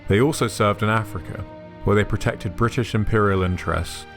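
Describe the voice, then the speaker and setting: A man giving measured, scripted documentary narration. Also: on the low side. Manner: measured, even documentary delivery. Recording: soft music underneath.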